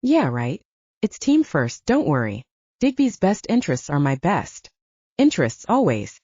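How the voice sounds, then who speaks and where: A woman's voice: low-pitched, wildly swooping pitch, measured pace, warm tone, breathy edge, dry recording, muffled recording, exaggerated voice. A woman voicing a blunt, swearing male werewolf soldier who is loyal to his team, in slangy audiobook dialogue. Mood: defensive.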